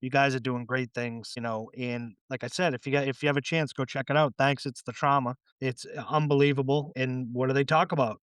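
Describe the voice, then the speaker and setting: A man's voice: medium pitch, steady tone, a little fast, few pauses, fairly bright tone, clean tone, no room sound. A man hosting a podcast interview, loose and chatty with plenty of fillers. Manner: loose, chatty, lots of fillers and "you know". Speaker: podcast host.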